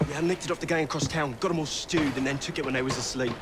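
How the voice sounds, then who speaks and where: An actor playing a nervous, eager London petty thief in a game, stammering and slangy in a working-class accent. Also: male voice, a little high, fairly even intonation, very fast, close to an everyday voice. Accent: London working-class English. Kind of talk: video game cutscene acting. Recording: loud clattering noise behind.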